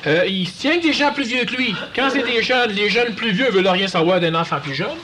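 Québécois male speaker, high and highly expressive, conversational lecture with faint room noise. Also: a little fast. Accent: Quebec French. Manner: animated, acts out quoted voices. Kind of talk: conversational talk to an audience.

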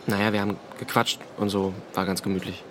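A man's voice: on the low side, flat delivery, quick pace, background noise, natural voice. An actor playing an anxious teenage boy in a radio drama, evasive and defensive, stumbling hesitantly over his words. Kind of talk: naturalistic German radio drama acting.

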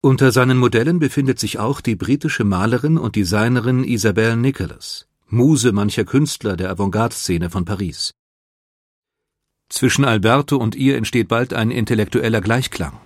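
A man narrating a scripted biographical documentary in a measured manner. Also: mid-range voice, no room sound, studio quality.

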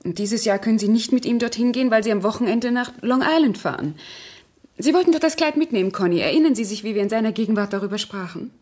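A woman's voice: a little high, fast, a little mellow, lightly acted. An actress playing a composed, persuasive woman who manages a household, in a radio crime drama. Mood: calmly persuasive. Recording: 1970s studio radio play recording.

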